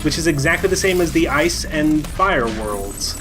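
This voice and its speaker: A man's voice: a little high, expressive, normal speed. A man hosting a video game playthrough, chatting casually in a dry, ironic, rambling way. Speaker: host playing the game. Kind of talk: casual joking chat, game commentary. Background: loud game music and effects.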